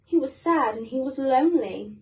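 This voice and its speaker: A woman's voice: high voice, lively intonation, normal speed, warm tone, distant mic. A British woman reading a children's storybook expressively, with asides to young listeners. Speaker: guest reader.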